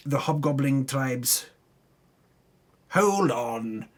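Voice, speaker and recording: A man narrates a fantasy campaign recap as a mock-dramatic storyteller. His voice sits a little high and swoops widely, with a husky, rough edge. He is theatrical and tongue-in-cheek, dropping into muttered asides. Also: a bit bright. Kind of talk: dramatic comic reading of a fantasy game recap.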